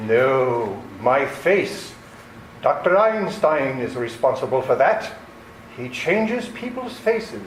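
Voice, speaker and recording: A man playing a sinister long-lost criminal nephew, grandiose and deliberate, in old-time radio theater style. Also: mid-range voice, big pitch swings. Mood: insistent. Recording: echoey, faintly noisy stage recording.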